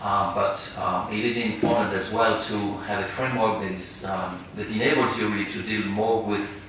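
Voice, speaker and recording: A man's voice: on the low side, steady tone, moderate pace. A man speaking on a conference panel, hesitant, with many fillers and restarts. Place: large conference hall. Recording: echoey hall, distant mic, noisy.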